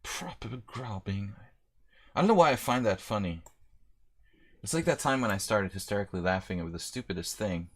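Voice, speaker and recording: A man's voice: on the low side, animated, fairly quick. A man streaming a chess simul, chatty and self-deprecating, thinking aloud over his moves. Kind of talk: live-stream commentary.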